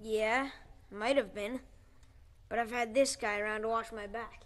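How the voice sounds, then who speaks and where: A woman's voice: fairly high pitch, steady tone, a little slow, fairly bright tone. A woman acting in an audio drama as an earnest, pleading young underground scavenger. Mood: placating. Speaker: actor.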